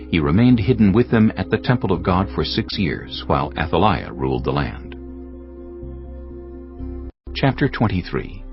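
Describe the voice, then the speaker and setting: Deep solemn male scripture narrator. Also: gravelly, muffled recording. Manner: measured, solemn reading.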